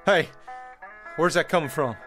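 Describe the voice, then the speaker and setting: A man's voice: fairly high pitch, a bit bright, soft background music. A stammering, bewildered pioneer father. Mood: bewildered, agitated. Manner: halting, stammering, repeating words. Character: bewildered, desperate pioneer wagon-train father from 1847. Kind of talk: radio drama acting.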